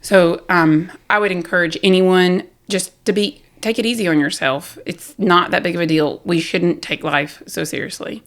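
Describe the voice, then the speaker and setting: A talkative woman with a Southern US accent, speaking candidly and loudly as an interview guest. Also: on the low side, moderate pace, few pauses. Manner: loud, talkative, self-deprecating. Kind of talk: candid personal conversation.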